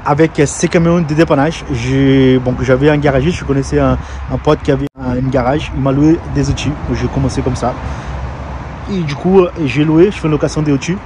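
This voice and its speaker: A man's voice: medium pitch, steady tone, a little fast. Man, Brazilian accent, Portuguese native speaker, hesitant, casual vlog, outdoor yard, noisy background. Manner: hesitant, many fillers and restarts. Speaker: heavy-truck mechanic and garage owner. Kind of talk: casual vlog tour and personal story. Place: outdoors at a truck yard and garage. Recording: outdoor street noise behind.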